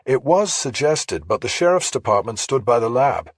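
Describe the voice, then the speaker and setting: A man voicing a measured, matter-of-fact female investigative journalist in an audiobook, explaining things carefully. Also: mid-range voice, very animated, no room sound, studio quality, pitched above the performer's own voice. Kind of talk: audiobook character dialogue.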